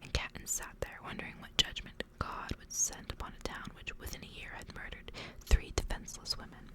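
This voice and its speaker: A woman speaks entirely in a hushed, unvoiced whisper. Her delivery is that of an ASMR creator reading for listeners, kept very quiet throughout. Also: a little fast.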